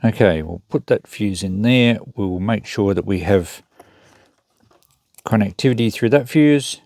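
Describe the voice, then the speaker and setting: Low, gravelly-voiced man at the workbench. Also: unhurried, a little mellow, no echo. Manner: thinking aloud while working, unscripted. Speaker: host of a repair channel. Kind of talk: casual hands-on repair commentary.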